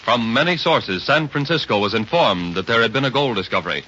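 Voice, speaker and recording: A man's voice: medium pitch, expressive, a little fast, heavy background noise. A man narrating a history drama in a dramatic 1930s radio style. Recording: muffled 1930s radio recording. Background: dramatic music.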